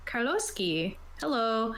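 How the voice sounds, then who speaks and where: A woman's voice: fairly high pitch, very animated, slow pace, fairly bright tone. A woman talking casually as a game master, explaining rules and options to players. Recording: roomy echo, light background noise.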